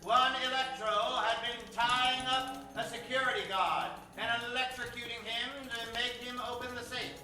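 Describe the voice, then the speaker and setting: A man's voice: very high-pitched, fairly even intonation, moderate pace, few pauses. A man narrating an improvised old-time radio show as a melodramatic announcer. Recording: echoey stage, far from mic.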